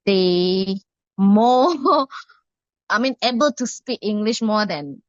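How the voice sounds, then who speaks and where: A woman's voice: mid-range voice, expressive, drawn-out words, no echo. A middle-aged Indonesian woman with an Indonesian accent, answering interview questions hesitantly with many fillers and restarts. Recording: muffled dry recording.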